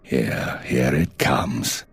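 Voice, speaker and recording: An actor playing a stern intelligence chief in a video game, with a husky voice and a clipped, authoritative, measured delivery. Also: male voice, a bass voice, full character voice. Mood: tense, impatient. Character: stern male spymaster, commanding and coolly measured.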